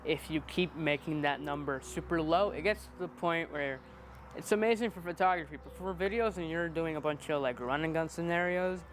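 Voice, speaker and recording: A man's voice: fairly high pitch, a little fast, a little hiss or hum. A man of twenty-one chatting casually in a rambling, self-deprecating, playfully joking way. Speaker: interviewee, amateur videographer. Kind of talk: casual chat.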